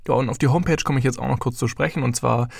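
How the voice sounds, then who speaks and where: Young man, early twenties, podcast host, chatty, rambling, gravelly, dry close sound. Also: mid-range voice, fairly even intonation, racing, no room sound. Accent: German. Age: young adult. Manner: chatty, rambling, with many fillers. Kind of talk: casual solo podcast.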